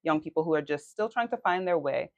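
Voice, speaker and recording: A woman's voice: deep voice, lively intonation, quick pace, darkish tone, no echo, highs cut off. A young adult woman from Queens, co-hosting a podcast in an earnest, faith-filled way and laughing easily. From New York City, Queens. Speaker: podcast co-host. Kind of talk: casual chat.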